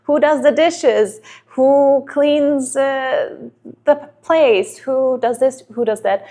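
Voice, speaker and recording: A woman in her thirties from Denmark, a native Danish speaker, talks straight to camera in a vlog. Her voice sits a little high, and she works through her points earnestly, asking one rhetorical question after another. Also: slow, mellow. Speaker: relationship advice vlogger. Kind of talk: advice monologue to camera.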